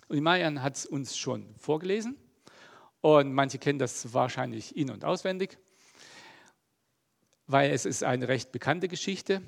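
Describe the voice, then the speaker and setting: A middle-aged man from East Germany preaching a relaxed, conversational sermon, chatty and loose with plenty of fillers. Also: fairly high pitch, vocal fry.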